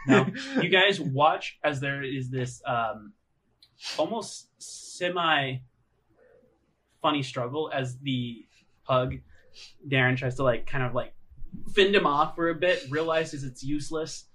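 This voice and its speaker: A man narrating a tabletop game as game master, improvising in a halting, conversational way. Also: medium pitch, pushed and bright, poor audio. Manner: halting, conversational, frequent hedging fillers. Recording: music under the voice.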